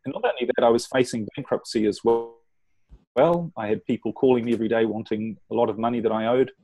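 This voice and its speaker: A middle-aged man with a New Zealand accent, a relationship coach telling his life story as a podcast guest in an earnest, measured way with some restarts. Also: mid-range voice, mellow, breathy edge, no echo, low-quality recording.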